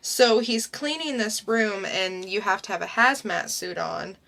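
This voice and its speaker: A young woman co-hosting a casual comic book podcast, summing up a story in a chatty, unhurried way. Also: mid-range voice, expressive, vocal fry, bright, forceful tone. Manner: unhurried, chatty, summarizing plots. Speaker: podcast co-host. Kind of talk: casual comic review chat.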